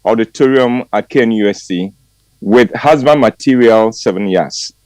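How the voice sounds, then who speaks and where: A man in his sixties from Ghana, with a Ghanaian accent, chatting on morning radio and reading a reflective commentary in a measured way with hesitant ums. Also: fairly low pitch, darkish tone, no echo. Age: 60s. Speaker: regular commentary guest. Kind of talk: warm radio chat and read commentary.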